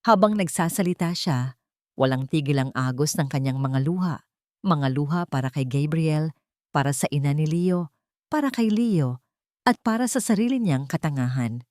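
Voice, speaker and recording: Woman, Filipino accent, low-pitched, mellow tone, dramatic romance narration, dry close sound. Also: expressive, normal speed, no echo. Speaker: storyteller.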